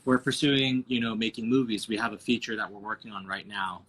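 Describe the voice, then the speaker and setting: A man chatting on a video call from his phone as a podcast guest, relaxed, swearing often, calling the host "bro". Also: lowish voice, a little fast, slightly rough. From United States. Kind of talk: casual interview chat. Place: home over video call.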